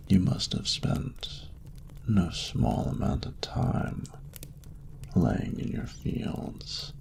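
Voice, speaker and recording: Ancient dragon lord for romance audio: extremely low, gravelly, breathy, courtly and seductive, noisy background. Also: male voice, exaggerated voice. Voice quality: very deep, breathy, gravelly murmur. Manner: slow, formal, archaic, tender phrasing. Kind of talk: intimate romantic audio roleplay, ASMR.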